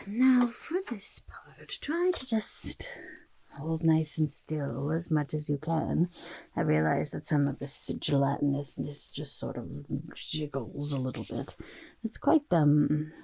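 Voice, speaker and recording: Slow, gravelly goblin artist. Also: female voice, low voice, warm tone, heavily acted. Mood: focused, musing. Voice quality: raspy, gravelly, often dropping to whispers. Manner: halting, muttering, refers to self in third person. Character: smug, chaotic goblin portrait artist, eager and odd. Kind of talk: ASMR roleplay, personal attention.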